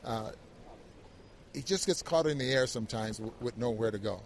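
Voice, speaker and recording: Halting male sports analyst, mid-range voice. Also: medium pitch, slight background noise. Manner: halting, repeats words and circles back. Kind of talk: conversational post-game analysis.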